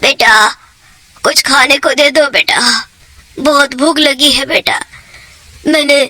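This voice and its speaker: A woman's voice: high-pitched, steady tone, slow pace, sharp tone, no room sound, voice pushed down, full character voice. A woman voicing a hungry old beggar who pleads pitifully in a cartoon moral story. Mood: pitiful, pleading. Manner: pleading, repetitive.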